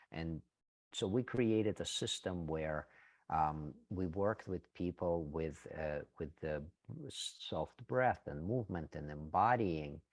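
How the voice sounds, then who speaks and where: A middle-aged man from Ukraine, answering an interview question in an earnest, hesitant way with many pauses. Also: deep voice, no room sound. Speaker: interview guest, breathwork teacher.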